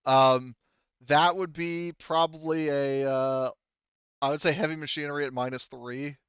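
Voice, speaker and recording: A man's voice: on the high side, fairly even intonation, measured pace, fairly bright tone, clear, resonant tone, no room sound, highs cut off. A man refereeing a casual tabletop game as game master, thinking aloud as he explains the rules.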